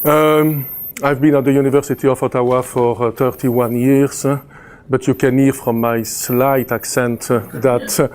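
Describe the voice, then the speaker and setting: A man's voice: mid-range voice, level tone, moderate pace, darkish tone, clear, resonant tone. A man in his fifties with a Belgian French accent, a chemistry professor giving a conference presentation, hesitant with many fillers and restarts and a dry sense of humor. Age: 50s.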